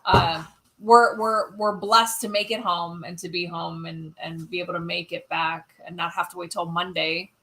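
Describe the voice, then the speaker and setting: A woman co-hosting a casual livestream, recounting a trip in a relaxed way and reading viewer comments. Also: lowish voice, moderate pace, poor audio. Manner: relaxed, backs up her partner, reads viewer comments. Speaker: co-host of a livestream. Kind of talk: casual storytelling chat.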